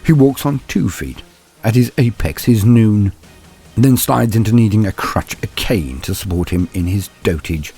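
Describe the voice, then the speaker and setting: A man voicing an arrogant, possessed assassin in an audio story, husky, sneering and deliberate in his menace. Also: lowish voice, expressive, dark tone, soft background music. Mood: smug, scornful.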